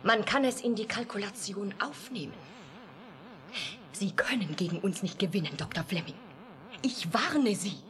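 A woman's voice: mid-range voice, expressive, normal speed, pauses often, fairly bright tone, lightly acted. An actress playing an artificially created young woman, cool and logical, with formal, literal speech in a radio drama. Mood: controlled, softening. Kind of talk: 1980s German radio drama acting.

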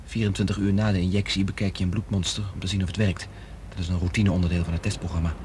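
Actor as grave, low-voiced worried doctor. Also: male voice, little pitch movement, quick pace, background noise, close to an everyday voice. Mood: grave, resigned. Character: tense, conscientious male doctor, worried accomplice. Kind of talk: radio drama acting.

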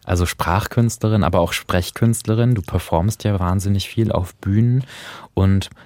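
Low-voiced man co-hosting a podcast. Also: moderate pace, darkish tone, no echo. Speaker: podcast co-host. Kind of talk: conversational discussion of radio drama.

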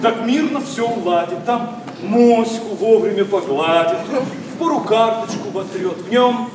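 An actor playing a passionate, sardonic young gentleman in a verse radio play, mocking and declamatory. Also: sits high, a little slow. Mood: caustic. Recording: echoey, distant, noisy stage sound.

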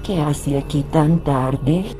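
An actress voicing the eerie, solicitous ghost of a night-shift tea lady in a radio drama, with a Colombian accent and drawn-out delivery. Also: low voice. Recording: loud music under the voice.

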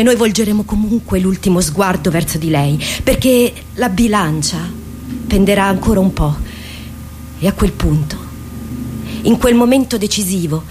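A woman's voice: mid-range voice, darkish tone, natural voice. Casting: actress for an earnest, devoted female soldier, solemn delivery, radio drama, faint ambient noise. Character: devoted female officer earnestly addressing her captain.

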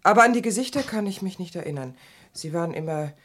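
A woman's voice: on the low side, expressive, quick pace. An actress playing the hesitant, embarrassed granddaughter of a murdered man in a German radio crime drama, speaking evasively.

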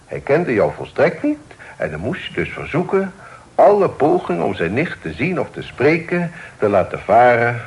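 An actor playing a sober, dignified middle-aged father, speaking formally and deliberately in a period radio play. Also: mid-range voice, very animated, husky, full character voice. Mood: grave, measured. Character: sober, reasonable, dignified father of a genteel family. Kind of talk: period radio drama acting. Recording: old radio recording with faint noise.

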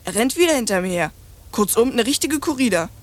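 A woman's voice: on the high side, lively intonation, fast talker. A woman voicing a precocious, bluntly scornful young French girl in a radio play, telling stories in bossy, chatty slang. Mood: gleeful storytelling.

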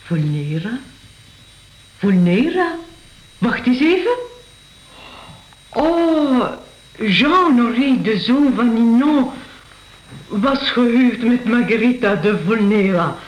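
A woman's voice: a little high, lively intonation, slow pace, pauses often, a little mellow. An actress playing a mysterious, intense castle lady in a radio drama, speaking in a solemn, theatrical manner. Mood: wondering. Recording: roomy, echoing radio play studio.

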